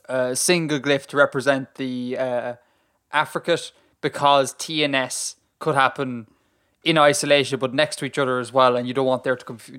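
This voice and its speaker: A man co-hosting a podcast, quizzing his partner with quick, encouraging questions in casual chat. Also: medium pitch, fairly bright tone, clean tone. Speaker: podcast co-host.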